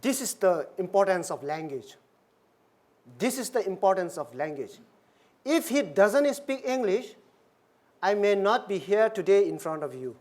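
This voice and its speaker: A woman minister speaks to her listeners in a storytelling dharma talk, her pitch sitting in an ordinary middle range. Her English is halting and non-native, full of restarts and self-corrections as she works toward each point. She speaks in front of an audience. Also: sing-song, darkish tone. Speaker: Buddhist minister.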